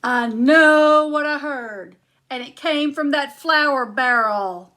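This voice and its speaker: A woman voicing a grumpy, hungry giant husband who booms and complains. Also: slow pace, bright, forceful tone, full character voice. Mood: grumbling. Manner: booming, complaining.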